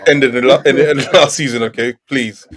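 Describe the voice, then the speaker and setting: A man's voice: mid-range voice, steady tone, fast talker, a bit bright, breathy edge, no echo, studio quality. A young man on a video call who argues insistently and gets exasperated, repeating the same words to cut in. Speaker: football podcast panelist. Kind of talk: heated casual debate.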